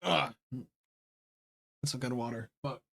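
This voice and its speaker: A man's voice: mid-range voice, measured pace, creaky, sharp tone. Rambling man with a light Southern accent. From Southern United States. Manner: rambling, restarts often, laughs a lot, swears casually. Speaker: game streamer. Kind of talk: casual live-stream chat, reading game dialogue aloud.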